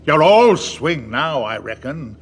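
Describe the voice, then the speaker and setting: An actor playing a wily, domineering middle-aged pirate captain with a gravelly voice, theatrical and full of rustic sailor talk, in an audio drama. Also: male voice, fairly high pitch, big pitch swings, takes it slowly, a little hiss or hum, exaggerated voice. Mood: mocking.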